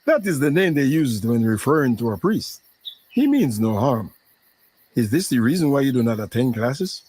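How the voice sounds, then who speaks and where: Deep commanding storybook king, fatherly and slow, swoops around a lot, a woman putting it on. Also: very animated, mellow, husky, full character voice. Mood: gentle, fatherly.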